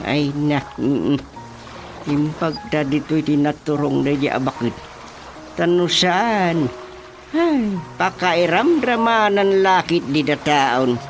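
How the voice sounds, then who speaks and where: A slow-talking old village chairman, pompous and easily flustered, mutters and exclaims in an Ilocano accent. It is radio comedy drama, with music clearly behind him. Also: fairly high pitch, lively intonation. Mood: muttering, troubled. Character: self-important, flustered elderly village chairman.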